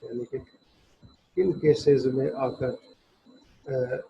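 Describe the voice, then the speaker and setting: A man's voice: mid-range voice, takes it slowly, vocal fry, slightly rough. A man giving an explanatory law lecture, methodically setting out points in short separate phrases and switching between Hindi and English. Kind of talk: explanatory lecture, reading and explaining legal text. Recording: dull, muffled lo-fi recording.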